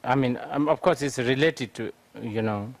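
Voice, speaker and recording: A man's voice: mid-range voice, normal speed. A man, a Buddhist teacher, answers audience questions in a lecture, thinking aloud with frequent fillers.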